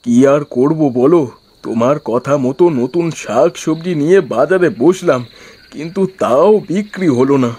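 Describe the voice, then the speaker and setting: A man's voice: a little high, unhurried, dark tone, breathy edge. A man playing a luckless, lamenting village vegetable seller and husband in a cartoon. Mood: despairing. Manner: lamenting, pleading.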